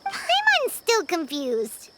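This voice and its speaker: A woman voicing a tiny, excitable, childlike fairy companion in a video game, squeaky and exclamatory. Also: slow, heavy background noise, exaggerated voice. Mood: confused. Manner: squeaky, exclamatory, refers to self by name. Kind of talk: scripted video game acting.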